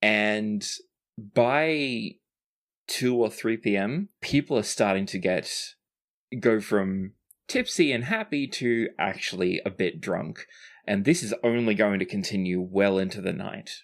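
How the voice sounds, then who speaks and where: A game master improvising narration for a tabletop role-playing game in an animated storytelling manner. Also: mid-range voice, a little slow.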